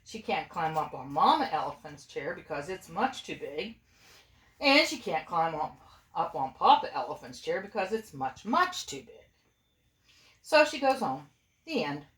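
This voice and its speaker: A woman's voice: lowish voice, sing-song, a little slow, fairly bright tone. A woman, a mother, reading a storybook aloud informally and expressively, with playful asides to her family. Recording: echoey room.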